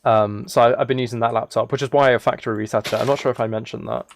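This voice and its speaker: A man chatting casually as a live game streamer, musing aloud in a relaxed, low-key way. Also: very fast, creaky, slight background noise. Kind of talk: casual chat over Minecraft gameplay.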